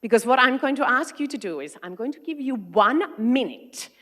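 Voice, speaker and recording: A woman's voice: on the high side, lively intonation, normal speed, a bit bright. A woman with a Finnish accent giving a friendly, engaging talk to a large conference audience as an education consultant. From Finland. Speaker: keynote speaker, education consultant.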